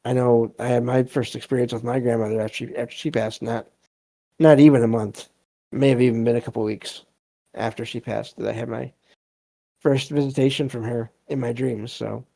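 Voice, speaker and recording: Guy hosting a podcast, kinda slow n hesitant, relaxed low-key voice w some fry, reading stories. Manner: halting, hesitant, reflective delivery. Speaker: podcast host. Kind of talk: casual commentary and reading listener stories aloud.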